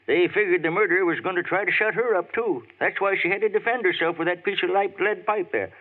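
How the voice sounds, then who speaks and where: A man's voice: high voice, fast talker. An actor in a 1940s radio drama playing a folksy, chatty small-town man who drawls in plain rural speech. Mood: gossiping. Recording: tinny old radio transcription.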